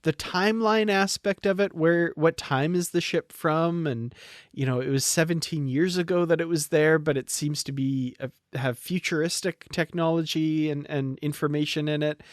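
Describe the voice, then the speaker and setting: Higher-pitched man chatting as podcast co-host. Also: moderate pace, no room sound, studio quality. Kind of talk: casual TV episode review chat.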